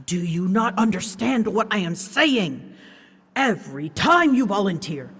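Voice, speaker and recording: A woman voicing a proud, scolding female dragon who rules seas and storms, imperious and theatrical with hushed, breathy phrases, in a scripted ASMR audio drama. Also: mid-range voice, big pitch swings, measured pace, big-room sound, full character voice. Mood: scolding, pained.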